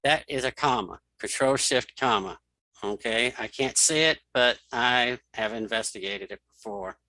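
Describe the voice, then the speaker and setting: A man giving a casual spoken software walkthrough as an instructor, thinking aloud informally as he demonstrates. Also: mid-range voice, normal speed, no echo, rough recording. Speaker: screen reader tutorial instructor.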